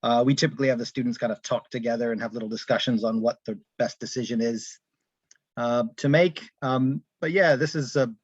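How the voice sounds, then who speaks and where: A man's voice: medium pitch, a little fast, breathy edge, dry recording. A man casually explaining his book as its author, talking from home on an online call. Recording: dull, lo-fi call audio.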